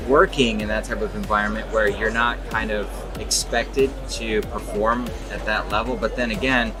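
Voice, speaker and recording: Man in his forties, California-raised, relaxed and halting, trade show floor, music behind. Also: on the low side. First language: Czech. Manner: relaxed, lots of fillers and restarts. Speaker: interviewee, exhibit designer. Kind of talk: casual interview answers. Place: exhibition hall at a trade show.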